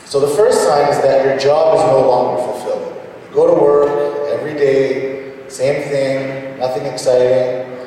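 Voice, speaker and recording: A man giving an introductory business seminar as a presenter, speaking haltingly with frequent pauses mid-sentence, in a large meeting hall. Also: medium pitch, fairly even intonation, warm tone. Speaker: presenter, small business owner. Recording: echoey hall, distant mic, noisy ambience.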